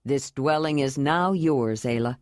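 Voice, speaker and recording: Woman reading a calm earnest young guy, voice dropped low, dry close sound. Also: measured pace, no room sound. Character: tall earnest young man, steady and loving.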